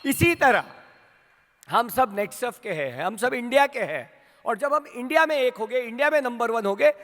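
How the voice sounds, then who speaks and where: A man with an Indian accent giving a rousing motivational speech to his company's sales team, asking the crowd questions and building to shouts. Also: very high voice, expressive, normal speed. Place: large hall at a training event. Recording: long hall reverb.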